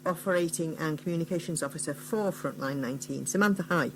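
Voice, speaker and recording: Woman radio host chatting with a guest, slightly low voice, faint hum behind. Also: fast, low-quality recording. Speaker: radio interviewer. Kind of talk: conversational radio interviewing.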